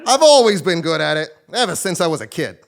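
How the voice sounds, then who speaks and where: Guy acting as a kooky high voiced fortune teller sage, super animated, hammy stage comedy. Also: gravelly. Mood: confiding. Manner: melodramatic, with drawn-out pondering hums and sudden cries. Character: eccentric, theatrical self-styled male sage and fortune teller. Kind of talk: comic stage acting before a live audience. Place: theatre stage, live performance.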